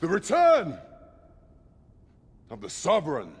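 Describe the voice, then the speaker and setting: A man's voice: fairly high pitch, wildly swooping pitch, takes it slowly, husky, full character voice. A man playing a grandiose court herald in a fantasy game, making a formal, declamatory ceremonial proclamation. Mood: solemn, grand. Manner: pompous, declamatory, formal archaic diction. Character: grandiose male court herald addressing nobles. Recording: long hall reverb, loud music behind.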